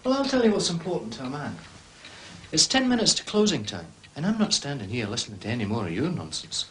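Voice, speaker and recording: An actor playing a gruff, impatient manservant in a period mystery drama, blunt and scolding. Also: male voice, mid-range voice, very animated, quick pace, slight background noise, lightly acted. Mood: brusque, scolding.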